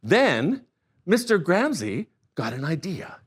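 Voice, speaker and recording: A man reading a picture book aloud to children in an animated, expressive storytime manner with playful asides. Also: sits high, takes it slowly, breathy edge. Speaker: storytime reader.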